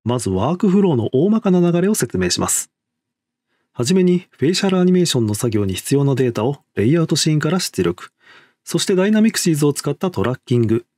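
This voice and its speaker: A man delivers a scripted technical presentation at a quick clip. His voice is a little high for a man and very animated in pitch, yet the wording stays formal and polite. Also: no room sound, clean studio sound. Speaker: lead facial animator, presenter.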